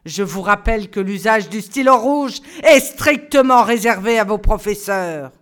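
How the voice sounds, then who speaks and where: A woman's voice: mid-range voice, lively intonation, unhurried, faint music behind, natural voice. A woman voicing a stiff, stern male French teacher who gives cold, clipped orders.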